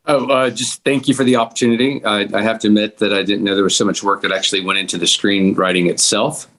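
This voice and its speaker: A man's voice: on the low side, level tone, fast talker, fairly bright tone, low-quality recording. A man speaking as a guest in informal, appreciative remarks, rambling a little and encouraging. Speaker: guest actor from a table read.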